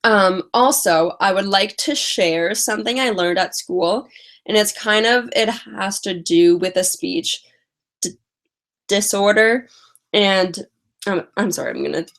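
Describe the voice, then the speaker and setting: A woman's voice: mid-range voice, a little slow, a bit bright. A young woman vlogger chatting casually on a live stream, stuttering and repeating the first sounds of words.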